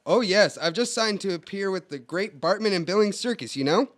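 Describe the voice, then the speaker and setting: A man's voice: high-pitched, expressive, brisk, fairly bright tone. A man voicing a tiny, chummy British circus performer with quaint, polite upper-class phrasing, in an old-time radio play. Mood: proud. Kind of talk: 1940s-style radio drama acting.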